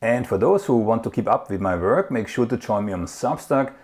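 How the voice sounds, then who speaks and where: A man's voice: lowish voice, expressive, fairly quick, darkish tone, husky. A man hosting a political interview, making an earnest, emphatic appeal to his viewers before questioning his guest. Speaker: interview host. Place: in the Netherlands.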